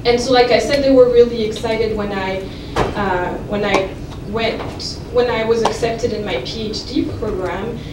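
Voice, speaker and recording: A young woman from France, a native French speaker, talks through her lecture in a loose, self-deprecating way, full of ums and restarts. She is heard from across a roomy lecture hall, and the recording has clear background noise. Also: a little high, fairly even intonation, normal speed, hardly any pauses. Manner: chatty and self-deprecating, many fillers and restarts. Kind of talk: informal lecture at a podium. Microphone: podium microphone heard from a distance. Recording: echoey room from far off, noisy.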